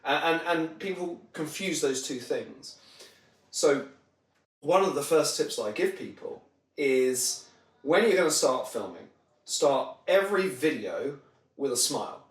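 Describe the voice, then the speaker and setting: A man's voice: a little high, expressive, a little slow. A man giving conversational explanations as an interview guest, illustrating his points with examples. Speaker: podcast guest, marketing trainer. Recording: roomy echo, distant microphone.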